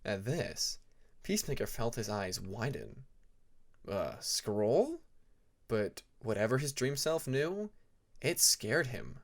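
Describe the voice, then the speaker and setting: A male audiobook narrator with a medium-pitched voice. He reads fiction very slowly and deliberately, setting phrases apart with dramatic pauses. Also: lively intonation, vocal fry.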